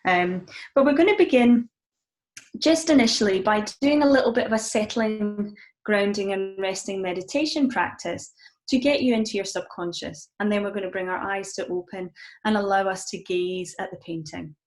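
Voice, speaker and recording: A woman with a Scottish accent, a mindfulness coach leading a live-streamed guided session in a gentle, encouraging way with frequent ums. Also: mid-range voice, moderate pace. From Scotland.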